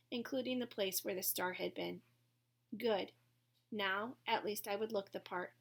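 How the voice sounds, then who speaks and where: A woman narrating a first-person story from a written text, as a reader of an audiobook. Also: mid-range voice.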